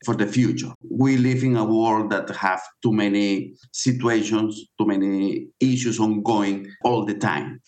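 A middle-aged man who is not a native English speaker, answering interview questions as a guest author in an earnest, explanatory way. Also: fairly low pitch.

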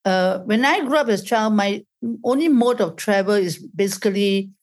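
A woman talks as an interview guest over a laptop call. She stops and restarts her sentences and searches for words, and her voice sits at a middling pitch. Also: moderate pace. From Malaysia, raised in Singapore. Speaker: podcast guest. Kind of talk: casual interview chat. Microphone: laptop video call.

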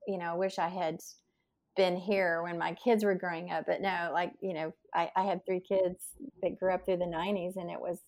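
A woman's voice: on the low side, level tone, moderate pace, a little mellow. A woman in her sixties hosting an interview, chatting and reminiscing casually with many fillers. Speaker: podcast host.

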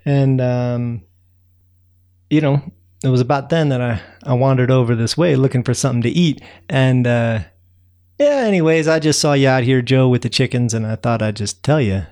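A man's voice: mid-range voice, normal speed, a little mellow, lightly acted. A man as a rambling friendly raccoon. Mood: easygoing. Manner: rambling, folksy, lots of fillers. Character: friendly chatty male raccoon, easygoing storyteller. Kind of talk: bedtime storytelling.

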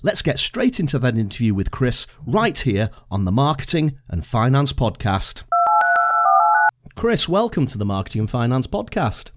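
A man's voice: mid-range voice, big pitch swings, normal speed. A man from the UK hosting a podcast interview over a video call, friendly and promotional, asking open questions. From United Kingdom. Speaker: podcast host.

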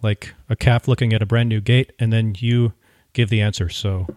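A man co-hosting a podcast in casual conversation, speaking in halting, searching phrases. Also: on the low side, fairly even intonation, a little mellow, dry recording, studio quality. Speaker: podcast co-host.

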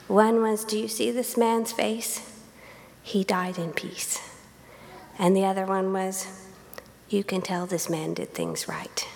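A woman with a gravelly, fry-laden voice speaks softly at a memorial, at times sinking to a near whisper. Her mid-pitched voice echoes through a church sanctuary with a long reverb. Her delivery is intimate and quiet. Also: lively intonation, slow pace, long pauses, a little hiss or hum. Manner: soft, dropping to near-whispers. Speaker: family member giving a eulogy. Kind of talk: personal memorial remarks. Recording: reverberant hall.

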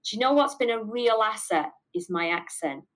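A woman's voice: mid-range voice, lively intonation, moderate pace. Emphatic middle-aged Mancunian woman. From north of England. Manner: emphatic, repeats words for stress. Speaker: business owner being interviewed. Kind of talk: candid interview answers.

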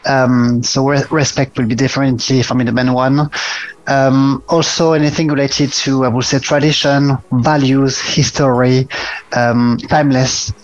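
A man with a French accent gives an informal recorded opinion. His voice is of average pitch. The audio is a little dull and soft music plays behind him. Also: little pitch movement, normal speed. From France. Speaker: contributor giving a recorded opinion.

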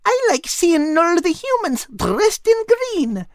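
Man doing a sweet girl dog, very high. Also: wildly swooping pitch, takes it slowly, no echo, studio quality, heavily acted. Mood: cheerful. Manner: sweet, bright, eager. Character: sweet cheerful female Scottish dog, loyal friend.

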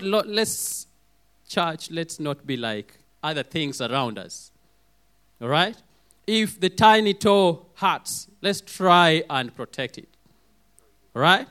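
Hoarse, lively man preaching a sermon. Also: high voice. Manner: lively, calls for amens, acts out quoted voices.

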